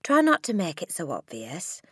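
A woman voicing a gentle but firm schoolteacher in a storybook, with a measured, earnest way of speaking. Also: lowish voice, huge pitch swings, slightly rough, no echo, clean studio sound, voice pushed up, full character voice. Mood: cautioning.

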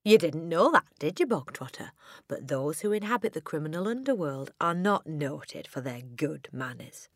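A woman's voice: big pitch swings, no room sound, clean studio sound, heavily acted. A woman voicing a tyrannical, bullying headmistress in an audiobook, theatrical and menacing, with emphatic delivery. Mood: mock friendly. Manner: booming, barking insults with drawn-out emphasis. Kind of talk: audiobook character acting.

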